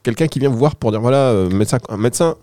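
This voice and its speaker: A man hosting a radio show with casual banter, playful and teasing, quick to interject. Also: mid-range voice, lively intonation, warm tone, dry recording, clean studio sound. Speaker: radio host.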